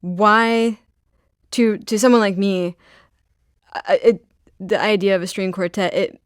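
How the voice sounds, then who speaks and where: A woman's voice: mid-range voice, steady tone, measured pace, no echo. A woman hosting a radio interview in a studio, asking curious, upbeat questions. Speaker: radio show host.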